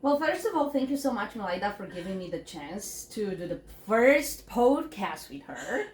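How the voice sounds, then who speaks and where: A woman's voice: mid-range voice, big pitch swings, normal speed, echoey room, a little hiss or hum. A middle-aged woman interviewing a friend on a living room sofa, speaking hesitantly with frequent fillers and tag questions like "right?". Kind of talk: casual interview. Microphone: stand mic between speakers.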